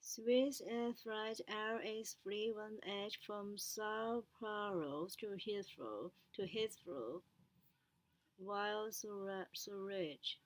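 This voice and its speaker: Chinese native woman learning english, slowly reading news out loud, halting, repeats words. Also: medium pitch, poor audio. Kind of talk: reading news aloud as pronunciation practice.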